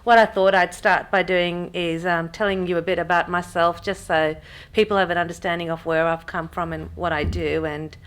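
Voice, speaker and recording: Woman, lowish pitch, relaxed, frequent ums, business workshop speaker. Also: moderate pace. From Pakistan. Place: conference room with audience.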